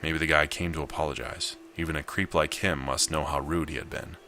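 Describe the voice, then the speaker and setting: A man's voice: rumbling low, steady tone, a little fast, vocal fry, sharp tone, slight background noise. A man reading a visual novel aloud and giving casual commentary as he plays. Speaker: video game player and narrator.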